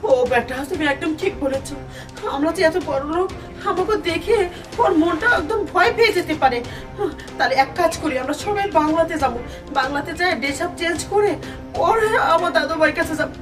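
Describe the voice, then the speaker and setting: Woman acting shrill, excitable old grandmother, distant mic. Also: a little fast. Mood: eager planning. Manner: wailing, exclamatory, urgent. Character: excitable rich doting grandmother, melodramatic and bossy. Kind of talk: melodramatic web drama acting. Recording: distant mic under loud music.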